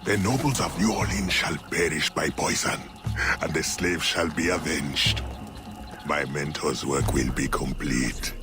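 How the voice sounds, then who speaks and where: A sinister male cult leader for a video game. The voice is very deep and slow, grave and commanding. Music plays clearly underneath. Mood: menacing, proud. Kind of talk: dramatic video game acting.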